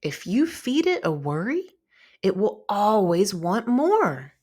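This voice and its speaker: A woman reading a children's story aloud in an expressive, deliberate narrating style. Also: medium pitch, slightly rough. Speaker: storybook reader.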